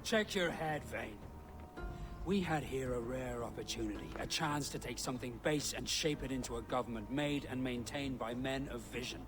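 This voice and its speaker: A man's voice: medium pitch, normal speed, close to an everyday voice. Guy as a seasoned pirate captain, plain spoken n disillusioned, music behind, game cutscene. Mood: stern, regretful.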